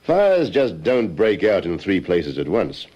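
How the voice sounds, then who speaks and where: An actor playing a dogged, suspicious police sergeant in an old radio detective drama, measured and politely insistent. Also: male voice, low voice, big pitch swings, darkish tone, breathy edge, exaggerated voice. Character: dogged, calmly suspicious male police sergeant questioning a suspect. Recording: slightly dull vintage radio recording.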